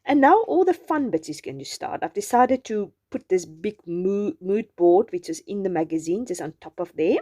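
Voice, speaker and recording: Mellow-voiced South African woman. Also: mid-range voice, animated, normal speed, few pauses. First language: Afrikaans. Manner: gentle, chatty, meandering with restarts. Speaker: journaling channel host. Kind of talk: voice-over narration of a craft journal.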